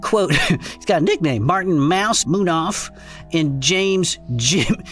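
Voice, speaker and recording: A performer playing a folksy, excitable male podcaster in a comedic audio drama, reading strange reports aloud with incredulous asides. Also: medium pitch, slow, faint music behind. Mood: amused, incredulous. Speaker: co-host reading case reports.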